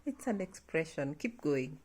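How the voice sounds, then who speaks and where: A woman's voice: low-pitched, sing-song, unhurried, a little mellow, a little hiss or hum. A woman speaking casually as a parent teaching at home, prompting and asking questions in an attentive, encouraging way. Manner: attentive, encouraging, often murmuring agreement.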